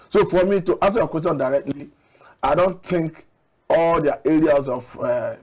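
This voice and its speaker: A middle-aged man from Nigeria, a studio guest analyst, making measured, argumentative points with occasional restarts, on a lapel mic. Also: mid-range voice, darkish tone, husky. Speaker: public affairs analyst guest. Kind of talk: studio TV discussion. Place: TV studio set.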